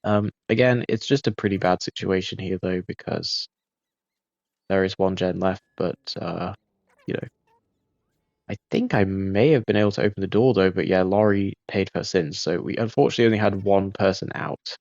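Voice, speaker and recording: A man giving relaxed post-game commentary over his gameplay, laid-back and self-critical, with frequent ums and uhs. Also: sits low, normal speed, dry recording, muffled recording. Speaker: gaming video creator.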